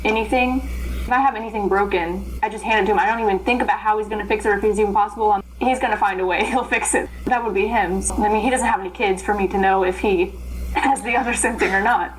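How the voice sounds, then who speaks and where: Animated young woman, chatty and rambling. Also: medium pitch, quick pace, a little hiss or hum, poor audio. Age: young adult. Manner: animated, rambling, laughs often. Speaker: interpreter guest. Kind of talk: casual interview chat.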